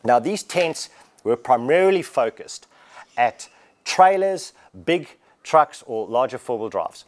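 A man's voice: medium pitch, expressive, slow. A man, explaining a product in a casual walkthrough inside a large warehouse showroom. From Australia. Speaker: product presenter.

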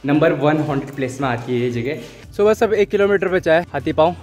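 A man's voice: on the high side, lively intonation, fairly quick, darkish tone, faint music behind. Young man vlogging on a mountain ride. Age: young adult. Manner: chatty, explaining tips to viewers with English words mixed in. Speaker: cycling vlogger. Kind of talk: casual vlog narration. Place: outdoors on mountain roads. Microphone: phone or action camera at arm's length.

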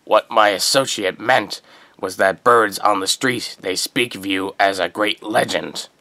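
A man's voice: fairly low pitch, a little slow, bright, forceful tone, no room sound. An actor playing a scheming, deferential crime lord in a radio drama, formal and placating in the way he talks. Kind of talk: comic-book radio drama acting.

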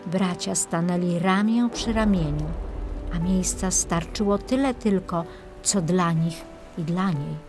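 A woman reading a children's fairy tale as scripted narration, in a measured, expressive storytelling manner. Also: on the low side. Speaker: main narrator of a children's audiobook. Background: music.

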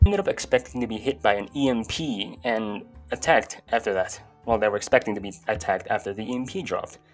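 Young guy doing casual youtube commentary, sweary and irreverent, bit of music under it. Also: lowish voice. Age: young adult. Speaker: YouTube video host. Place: small bedroom, indoors. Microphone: close desk microphone. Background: faint music.

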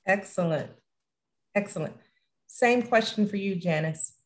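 A woman's voice: medium pitch, a little slow. A middle-aged woman hosting a live online panel from a room at home over a video call. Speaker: host, moderator.

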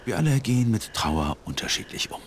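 An actor voicing an elderly, gentle village pastor in a video game, speaking in a measured, courteous, slightly formal way. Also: male voice, fairly low pitch, sing-song, slight background noise. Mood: solemn, reflective. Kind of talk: video game character dialogue.

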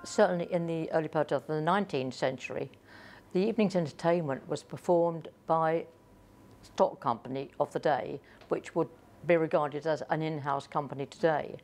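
Very low-voiced woman explaining history. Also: moderate pace, darkish tone. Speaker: interviewee.

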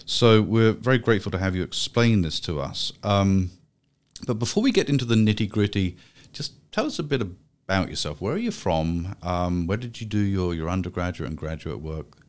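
Deep-voiced man hosting a podcast. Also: expressive. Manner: measured, formal delivery with fillers in chat. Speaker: podcast host. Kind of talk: scripted intro then interview. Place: small office meeting room. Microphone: studio mic with pop filter.

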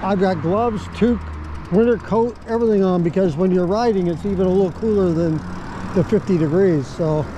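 A man vlogging casually while riding an e-bike outdoors, talking in a relaxed way with plenty of fillers. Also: sits high, fairly quick, lo-fi sound. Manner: relaxed, chatty, many fillers. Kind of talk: casual ride commentary. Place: outdoors on a moving e-bike by a busy road. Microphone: helmet or bike-mounted camera mic. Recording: loud wind and traffic noise. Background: road traffic.

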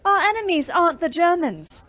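A woman's voice: very high voice, very animated, normal speed, fairly bright tone, exaggerated voice. Earnest, outspoken young wartime woman. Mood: defiant, bitter. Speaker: actor in an audio play. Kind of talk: audio drama acting.

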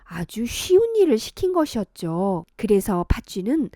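A woman telling stories to young children as a teacher, with gentle, sing-song, expressive narration. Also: a little high, normal speed, no echo, clean studio sound.

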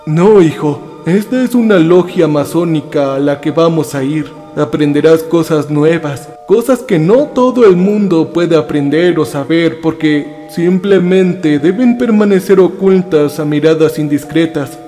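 A man voicing an elderly professor of ninety-two, cold and persuasive, speaking slowly. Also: on the high side, mellow, faint music behind, lightly acted.

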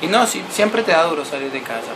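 A man's voice: fairly high pitch, very animated, fairly quick, a loud crowd. A young man from Colombia answering interview questions in a small room, earnest and grateful, often restarting his sentences. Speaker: guest, actor. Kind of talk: casual interview. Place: small indoor room, seated beside host.

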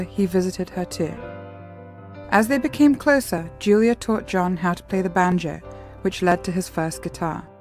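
A woman reading scripted documentary narration in a measured, even way. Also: on the low side.

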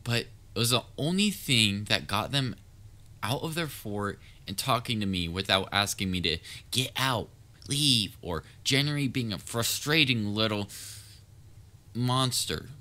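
A man's voice: lowish voice, expressive, slow pace, pushed and bright, exaggerated voice. A man playing a patient, sometimes exasperated but caring young babysitter in a roleplay audio drama, talking in a casual, coaxing way. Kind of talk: roleplay audio drama, speaking directly to listener.

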